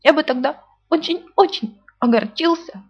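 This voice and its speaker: A woman's voice: high voice, sing-song, moderate pace, pitched above the performer's own voice. A woman voicing a greedy, bumbling little male bear who stammers and whines. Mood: whiny. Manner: stammering, whiny, hesitant. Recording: dull lo-fi recording.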